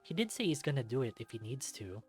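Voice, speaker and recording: A man's voice: mid-range voice, big pitch swings, fast, a little mellow. A man reading a visual novel aloud as a let's-play, narrating expressively. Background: game music.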